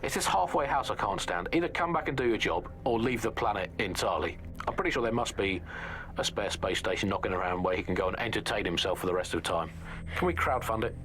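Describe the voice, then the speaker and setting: A man's voice: lowish voice, lively intonation, fast, fairly bright tone. A middle-aged British man playing a wry, sardonic pirate radio host who delivers rambling political satire. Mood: exasperated.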